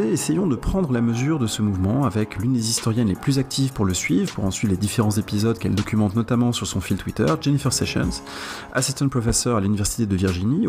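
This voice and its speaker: Brisk, creaky-voiced man hosting a podcast. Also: lowish voice, darkish tone. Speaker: podcast host. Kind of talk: scripted narration, introducing guests.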